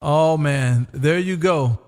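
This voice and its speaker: High-pitched jovial man, heavy reverb. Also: slow pace, darkish tone, slight background noise. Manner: jovial, teasing, repeats himself, often says "man". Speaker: amateur radio operator and livestream host. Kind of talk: casual radio chat, live streamed. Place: home radio room.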